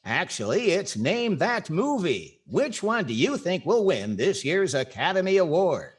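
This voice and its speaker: A man's voice: high-pitched, big pitch swings, unhurried, breathy edge, full character voice. An older man playing a wry, inquisitive comedy host in 1940s radio style, echoing lines as straight man. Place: indoors on a video call.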